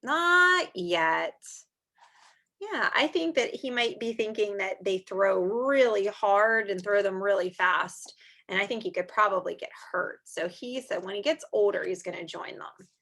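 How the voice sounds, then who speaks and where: A woman's voice: mid-range voice, lively intonation, unhurried, bright, forceful tone. A woman reading aloud to young children like a teacher, drawing out the story words expressively and adding chatty asides and questions.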